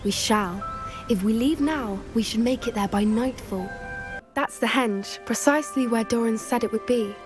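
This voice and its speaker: Woman voicing a young student girl, soft-spoken, thoughtful, slightly high voice, game cutscene. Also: moderate pace, over music, close to an everyday voice. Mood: earnest. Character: earnest young female student, gentle creature lover. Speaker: game character. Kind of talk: video game dialogue.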